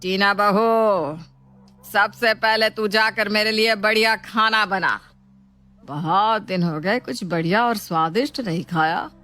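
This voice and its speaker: Woman acting a bossy, slow-talking ghost mother-in-law. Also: mid-range voice, pushed and bright, soft background music. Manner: domineering, taunting, drawn-out scolding. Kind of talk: Hindi moral storybook drama.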